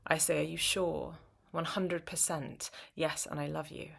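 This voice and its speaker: Low, creaky-voiced woman performing poetry. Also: fairly even intonation, a little slow, fairly bright tone. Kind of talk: intimate dramatic spoken-word poetry.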